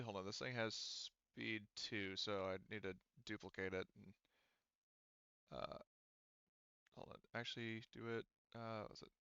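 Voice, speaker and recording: A man acting as game master in a casual tabletop game, haltingly thinking aloud as he explains rules, on a close, slightly dull microphone. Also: lowish voice, a bit bright, clear, resonant tone, dry recording.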